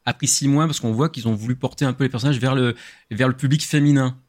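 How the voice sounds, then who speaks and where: A man explaining things casually as a guest, halting and repeating words as he goes. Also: mid-range voice, fairly even intonation.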